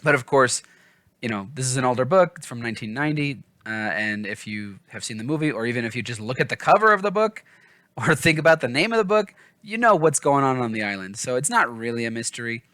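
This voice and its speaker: A man's voice: medium pitch, lively intonation, fast, a bit bright, no echo. Casual man chatting about books, dry close sound. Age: adult, father of small children. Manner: informal, self-deprecating, digressive. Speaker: book reviewer and vlog host. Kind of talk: casual, rambling book discussion.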